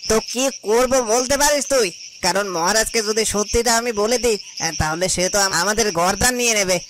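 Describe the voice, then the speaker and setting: A man's voice: high-pitched, fairly quick, a bit bright. A man playing an anxious, cowardly royal guard in a fairy-tale cartoon, hesitant and stammering. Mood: nervous scheming. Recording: noisy, cricket and insect sounds behind.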